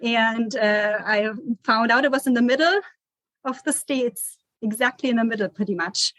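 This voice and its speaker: A woman's voice: fairly high pitch, moderate pace, fairly bright tone, dry recording. A woman in her forties from Germany with a German accent, a guest architect giving chatty interview answers full of fillers. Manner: chatty, with many fillers and restarts.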